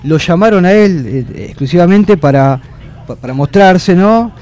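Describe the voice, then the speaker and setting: A man's voice: sits high, expressive, moderate pace, darkish tone. A man co-hosting a comedy radio show, asking chatty, lightly teasing questions.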